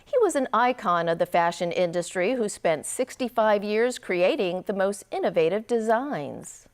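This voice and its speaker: Female news anchor, medium pitch, scripted bulletin read. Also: animated, moderate pace.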